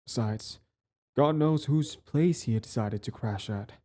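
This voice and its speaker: A man's voice: medium pitch, unhurried, warm tone, close to an everyday voice. A man playing a cocky but easily flustered villain devoted to a date, in a casual, wry romantic audio roleplay. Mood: bitter, scheming. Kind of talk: one-sided romantic audio roleplay, conversational.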